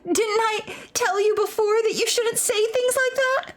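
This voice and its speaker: A woman voicing a frightened high school student in a horror video game, in a dramatic, shaken manner. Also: fairly even intonation, measured pace, noisy background. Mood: scolding.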